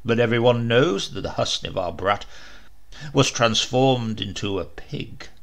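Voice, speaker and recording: A man voicing a gruff medieval knight who mocks and sneers. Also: mid-range voice, measured pace, pitched below the performer's own voice. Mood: mocking. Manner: mocking, sneering.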